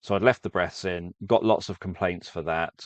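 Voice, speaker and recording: A man's voice: low voice, fairly even intonation, moderate pace, no room sound. A man who makes sleep stories, talking casually to viewers and rambling through his explanations. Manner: rambling, self-correcting explanation. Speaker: sleep story creator.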